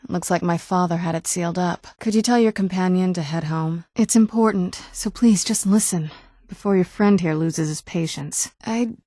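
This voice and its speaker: A woman's voice: on the low side, moderate pace, mellow, no room sound, clean studio sound, lightly acted. A young woman playing an ancient but youthful vampire noblewoman in a video game, speaking in a wry, understated, conversational way. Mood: dry, guarded. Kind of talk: video game character dialogue.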